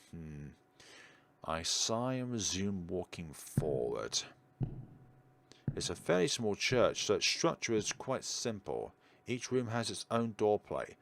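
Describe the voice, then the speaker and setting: A man hosting a game playthrough, reading visual novel text aloud and breaking into offhand asides. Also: low voice. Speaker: gaming video host. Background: prominent game music.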